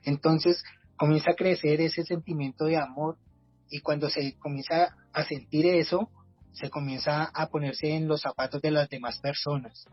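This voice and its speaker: A man's voice: a little high, steady tone, normal speed, slightly rough, dry recording, dull audio, faint music behind. A man from Bogotá with a Colombian accent, sharing his personal story earnestly and reflectively as a podcast guest, often restarting his words. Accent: Bogotá Colombian Spanish. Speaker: guest, community project leader. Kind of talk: personal testimony in a podcast conversation.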